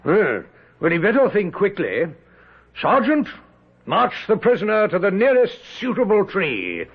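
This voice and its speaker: Radio drama: pompous British general, husky and high-pitched, stern, formal, lo-fi vintage sound. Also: male voice, wildly swooping pitch, a little slow, full character voice. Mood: stern, scornful. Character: haughty British general presiding over a court-martial. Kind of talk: old-time radio drama acting. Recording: muffled lo-fi vintage radio recording.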